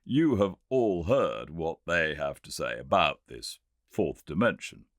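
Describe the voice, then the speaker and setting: An earnest Victorian gentleman inventor , as a male narrator plays him, lectures in a British accent with very lively, swinging intonation. He is formal and emphatic, pressing each point with relish, and the sound is dry and close, as in an audiobook. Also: low-pitched, no room sound, heavily acted. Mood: keen, explaining. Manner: emphatic, didactic, formal, deliberately pointed phrasing. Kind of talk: audiobook character reading.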